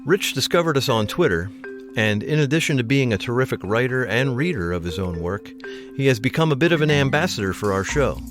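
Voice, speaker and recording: A man's voice: mid-range voice, animated, fairly quick. A man hosting a podcast, speaking in a scripted outro. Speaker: podcast host. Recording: music under the voice.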